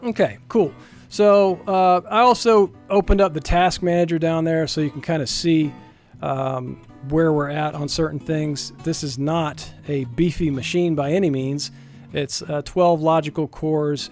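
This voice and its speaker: Guy doing a casual software demo voiceover, slightly high voice, folksy, faint guitar music under it. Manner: informal and folksy, talks viewers through each step. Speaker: software developer presenting a demo. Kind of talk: casual screen-share tech demo narration.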